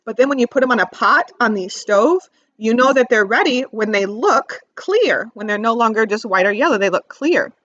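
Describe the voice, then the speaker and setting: A woman teacher reading aloud to young children, expressively stressing key words and pausing to ask simple questions. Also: on the high side, animated, a bit bright, dry recording, lo-fi sound. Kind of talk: read-aloud lesson with questions to young listeners.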